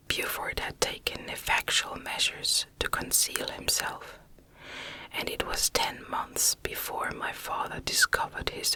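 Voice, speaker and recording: A woman reading a classic novel as ASMR narration in a hushed, unhurried, measured whisper. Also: rough recording. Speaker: audiobook reader.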